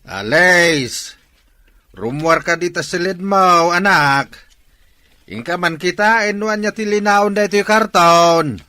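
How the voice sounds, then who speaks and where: Man as a bright-voiced, concerned village father. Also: high-pitched, slow, no room sound, full character voice. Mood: calling, coaxing. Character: concerned older village father coaxing his daughter. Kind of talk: Ilocano audio drama acting.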